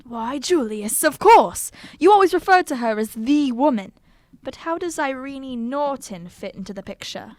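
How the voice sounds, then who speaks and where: A woman playing a loyal, nostalgic older gentleman in an audio drama, with genteel, old-fashioned phrasing. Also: sits high, expressive, moderate pace, no echo. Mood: delighted, surprised. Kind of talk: audio drama acting with first-person narration.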